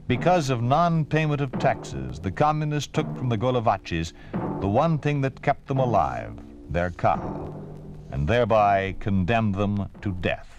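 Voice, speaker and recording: A man's voice: medium pitch, lo-fi sound. A man reading scripted narration as a vintage radio announcer, formal and emphatic. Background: music.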